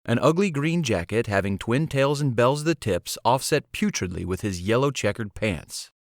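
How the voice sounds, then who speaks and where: A man's voice: mid-range voice, animated, moderate pace, no echo, clean studio sound. A man reading scripted fantasy storybook narration as an audiobook narrator.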